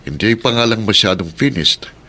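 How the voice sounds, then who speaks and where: A man's voice: lowish voice, expressive, moderate pace, bright, forceful tone, exaggerated voice. A Filipino man playing a practical, agreeable husband in a radio drama. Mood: practical, pleased.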